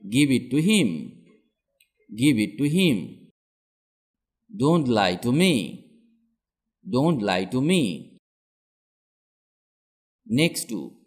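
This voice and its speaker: A man reading out words and short example sentences as a scripted English lesson, in a deliberate, instructional manner. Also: medium pitch. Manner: deliberate, each sentence said twice. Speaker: English language teacher.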